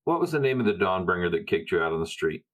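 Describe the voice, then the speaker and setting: Male roleplay gamer, deep low voice, conversational in-character delivery. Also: big pitch swings, fast, fairly bright tone. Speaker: player.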